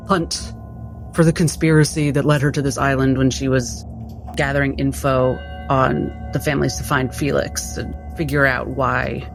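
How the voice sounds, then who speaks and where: A woman chats as a player in a tabletop roleplaying game. Her delivery is casual with a gravelly, creaky edge, and soft music sits in the background. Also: a bass voice.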